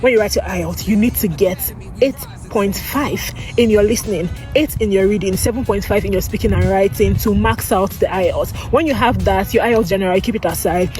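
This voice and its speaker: A man's voice: high voice, fairly quick, a little mellow. A man giving step-by-step advice in an emphatic, instructive vlog style. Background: music.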